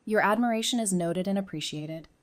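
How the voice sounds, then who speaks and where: A woman's voice: medium pitch, fairly quick, close to an everyday voice. A woman in an audio drama playing a composed, perceptive psychiatrist turned survivor, earnest and self-assured. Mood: confident.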